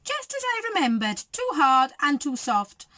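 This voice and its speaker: Woman playing a high, gushing, fussy older busybody. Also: sing-song, unhurried, bright, forceful tone, no echo, studio quality, full character voice. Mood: fussy, satisfied. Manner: gushing, exclamatory, pleased with herself. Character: fussy, bossy, self-satisfied older woman, meddling storybook decorator.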